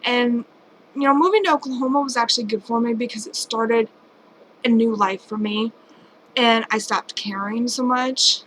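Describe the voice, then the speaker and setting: Late-twenties woman, vlogger, higher-pitched, chatty and self-deprecating, home camera mic. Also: normal speed, fairly bright tone, a little hiss or hum, low-quality recording. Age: late 20s. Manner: rambling, candid, self-deprecating. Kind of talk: casual personal vlog. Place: home at night.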